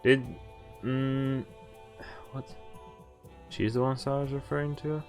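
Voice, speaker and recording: A man's voice: mid-range voice, flat delivery, slow, a little mellow, soft background music. A man reading visual novel text aloud and adding casual, sweary commentary as he streams. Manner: swears freely.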